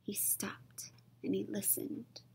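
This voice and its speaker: A young woman reading a children's storybook aloud, expressive and suspenseful, stretching key words and dropping toward whispers. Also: slow pace. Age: young adult. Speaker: read-aloud storyteller, teacher. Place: small classroom, indoors.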